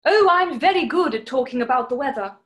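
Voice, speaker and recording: A woman plays an eccentric interviewee in a comic radio spot. The voice is very high and chatty, heard in a roomy, echoing space. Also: lively intonation, brisk, a bit bright. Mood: prim, chatty. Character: chatty, eccentric member of public in radio vox pop. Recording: roomy echo.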